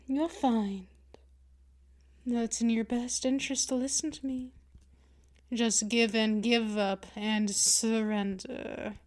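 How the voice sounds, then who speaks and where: A woman's voice: fairly high pitch, darkish tone. A woman playing a dominant, patient vampire mistress in a scripted ASMR roleplay, speaking in a condescending, teasing purr straight to the listener. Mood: coaxing, possessive. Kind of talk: scripted ASMR roleplay, intimate second-person address.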